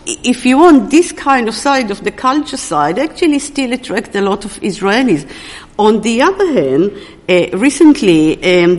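A woman with a fairly low voice gives an academic conference talk about a book. Her delivery is halting, and she often pauses to search for words. The sound rings with the long reverb of a large lecture hall. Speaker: academic presenting an edited book. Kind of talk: conference presentation with slides.

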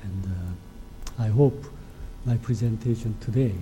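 Soft-spoken Korean man, dark tone. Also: on the low side, slight background noise, rough recording. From South Korea. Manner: halting, with frequent hesitations. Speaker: ambassador giving a presentation. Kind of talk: formal lecture to an audience.

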